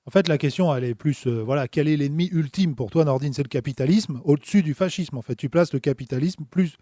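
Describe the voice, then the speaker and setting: A man's voice: a little high, fast, mellow, no room sound. A man in a political debate, reasoning aloud with many hesitant fillers.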